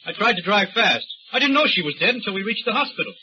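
An actor playing a nervous, indignant young murder suspect who talks defensively in a 1930s radio drama. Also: male voice, high-pitched, very animated, fast talker, sharp tone, husky, heavily acted. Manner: defensive, indignant, rising to panic. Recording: dull old radio recording.

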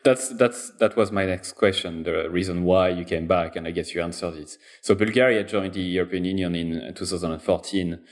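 A man with a fairly low voice hosts a casual interview, asking friendly, curious questions. He nudges the guest along with short prompts and follow-ups. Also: fairly even intonation, fairly quick, few pauses.

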